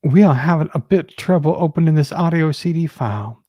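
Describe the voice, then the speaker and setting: A man's voice: a little high, a little fast, dry recording, muffled recording. A man on a discussion panel, chatting casually and reading correspondence aloud. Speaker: panelist on a livestream.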